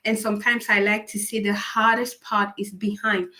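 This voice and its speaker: Raspy-voiced woman coaching through a cold. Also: medium pitch, flat delivery, normal speed, pushed and bright. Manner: upbeat, encouraging, asks viewers many questions. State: fighting a cold. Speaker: writing coach hosting a live series. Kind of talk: live-stream coaching talk.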